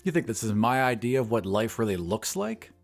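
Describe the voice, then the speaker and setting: A man's voice: mid-range voice, very animated, brisk, vocal fry, soft background music. A man voicing a gloomy, scornful old painter who talks with bitter sarcasm.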